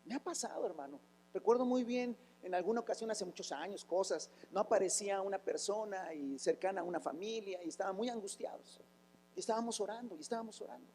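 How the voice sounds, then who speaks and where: A man's voice: high-pitched, quick pace, a little mellow, long reverb tail, a little hiss or hum. A man, preaching a fervent sermon as a pastor, asking rhetorical questions and breaking into sudden exclamations. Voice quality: raspy, rough voice.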